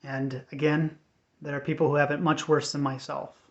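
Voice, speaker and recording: A man's voice: on the high side, little pitch movement, normal speed. American man, dull lo-fi recording. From United States. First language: English. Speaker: vlogger giving a personal update. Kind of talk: unscripted personal talk to camera. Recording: dull, lo-fi sound.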